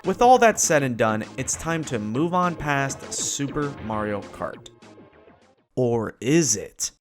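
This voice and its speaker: A man's voice: mid-range voice, sing-song, takes it slowly, music in the background. A man narrating a gaming tournament video in a casual, scripted style.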